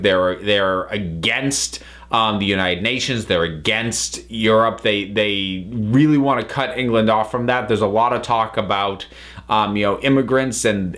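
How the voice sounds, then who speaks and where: American man, halting casual reviewer. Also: medium pitch, fairly bright tone. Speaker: reviewer and channel host. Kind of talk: casual review vlog of audio dramas.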